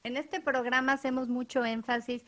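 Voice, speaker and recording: A woman with a fairly high voice hosts the conversation. She talks expressively and animatedly, reacting and asking follow-up questions. Her tone stays conversational and engaged. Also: level tone, normal speed, a bit bright. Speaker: interviewer and program host. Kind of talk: enthusiastic interview conversation.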